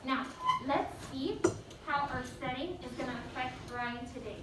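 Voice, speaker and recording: A woman's voice: sits high, moderate pace. A woman teaching an interactive read-aloud lesson to children, encouraging them and prompting with questions. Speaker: elementary school teacher. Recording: echoey, noisy, distant mic.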